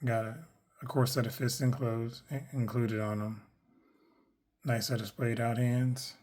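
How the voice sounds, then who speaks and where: A man with a hoarse, worn voice, chatting casually through a toy unboxing, rambling with lots of fillers and self-corrections. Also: mid-range voice, flat delivery, unhurried, a little mellow. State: hoarse, losing his voice. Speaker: toy collector reviewing action figures.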